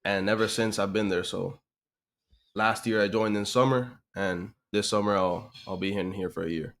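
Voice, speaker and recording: Low-voiced young man from Miami. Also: steady tone, moderate pace, fairly bright tone. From Miami, USA. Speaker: interview guest, online business owner. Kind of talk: casual interview answers.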